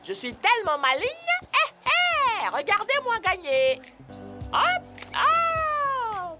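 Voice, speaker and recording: Very high, boastful winged cartoon creature. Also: female voice, huge pitch swings, slow pace, over loud music, full character voice. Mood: gleeful boasting. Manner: gloating, exclaiming. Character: boastful, giddy winged animal, sure of winning. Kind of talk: French-dubbed cartoon acting.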